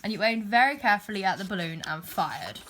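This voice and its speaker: A woman reads a children's story aloud as narrator. Her voice sits at a middle pitch as she tells the tale. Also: lively intonation, fast, fairly bright tone. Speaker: storybook reader.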